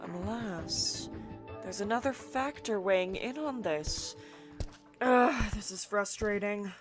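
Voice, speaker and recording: A woman voicing an excitable young scientist in a fan audio drama, animated and prone to giddy laughter. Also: medium pitch, takes it slowly, music in the background, lightly acted. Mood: puzzled. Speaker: scientist character.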